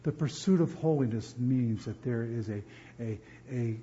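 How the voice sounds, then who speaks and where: A man from New Jersey preaching a sermon as pastor, animated and often repeating words as he builds emphasis. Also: mid-range voice, normal speed, dull audio.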